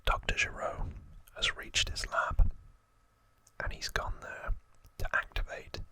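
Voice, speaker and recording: Slow, whispering man in ASMR style. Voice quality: breathy, unvoiced whisper. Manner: soft, unhurried, informal retelling. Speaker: ASMR video host. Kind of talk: whispered ASMR trivia narration.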